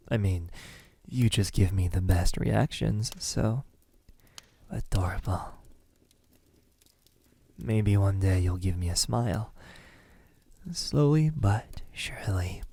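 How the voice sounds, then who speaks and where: A man playing a possessive, teasing vampire in an intimate ASMR roleplay, speaking softly and breathily with frequent drops into a whisper. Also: low voice, no echo, full character voice. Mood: teasing, smitten. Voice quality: breathy, often dropping to a whisper. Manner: slow, menacingly tender, teasing. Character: possessive, teasing, lonely male vampire in his castle.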